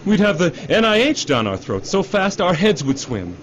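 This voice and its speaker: A man's voice: high-pitched, sing-song, normal speed, fairly bright tone. An actor in a radio drama playing a glib, defensive middle-aged executive who cajoles and repeats himself like a salesman. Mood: defensive, pleading. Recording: dull radio drama recording, faint noise.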